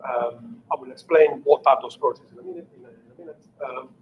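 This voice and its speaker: An Italian-accented middle-aged man talks through an engineering presentation, stopping often to rephrase with fillers and restarts. His voice sits at an ordinary pitch. The recording is a little dull, with a faint hiss behind it. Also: steady tone. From Italy. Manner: unscripted, halting with many fillers and restarts. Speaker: engineering manager giving a technical talk. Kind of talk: online technical presentation.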